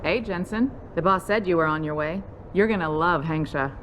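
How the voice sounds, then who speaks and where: A breezy female pilot , played by a woman, in a video game. Her voice is fairly low, with a playful, teasing, casual delivery. Clear vehicle noise runs behind her. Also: normal speed, fairly bright tone, close to an everyday voice. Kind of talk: dramatic video game dialogue. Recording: noisy vehicle ambience behind.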